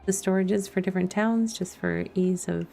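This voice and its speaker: A woman's voice: on the low side, fairly even intonation, moderate pace, vocal fry. A woman game streamer giving casual let's play commentary, thinking aloud to herself. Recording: faint music behind the voice. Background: soft background music.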